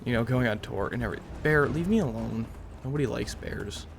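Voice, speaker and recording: A man's voice: medium pitch, expressive, a little fast, creaky, a little hiss or hum. A young man giving casual let's-play commentary as a gaming video host, musing aloud in a rambling way over game music. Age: young adult.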